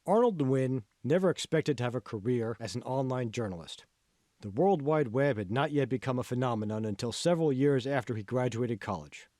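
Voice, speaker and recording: A man reading scripted audiobook narration as an author, in measured, clearly phrased storytelling. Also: mid-range voice, dark tone, no room sound, clean studio sound.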